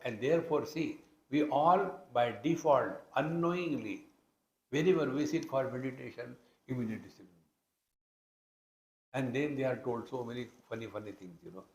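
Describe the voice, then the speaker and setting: A man's voice: medium pitch, normal speed, darkish tone. An echoing, roomy recording carries a man's mid-range voice with an Indian accent. He speaks as a swami teaching scripture, conversational in tone, leaning on and stretching key phrases for emphasis. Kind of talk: spiritual discourse expounding scripture. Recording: roomy, echoing hall sound.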